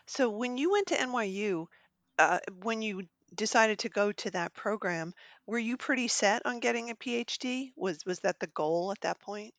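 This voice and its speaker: A woman's voice: medium pitch, normal speed, fairly bright tone, no room sound. Woman interviewer, casual, in a dry room. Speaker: podcast interviewer.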